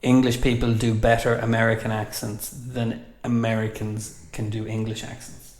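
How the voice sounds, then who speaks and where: An Irish man with a neutral accent talks in a relaxed, hesitant way, pausing often with fillers. His voice stays level with little rise and fall. The room adds a noticeable echo. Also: lowish voice. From Ireland. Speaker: host. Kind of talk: casual chat. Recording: roomy echo.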